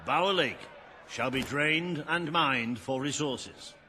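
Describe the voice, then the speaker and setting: An actor playing a solemn, officious royal court crier making formal proclamations in a video game. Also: male voice, a little high, takes it slowly. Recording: echoing hall, faint noise.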